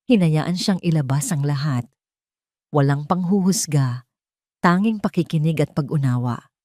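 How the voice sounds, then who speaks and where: A woman's voice: low voice, a little slow, darkish tone, dry recording, studio quality. A woman with a Filipino accent reading a scripted romance drama as a storyteller, with dramatic delivery. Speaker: audio story narrator.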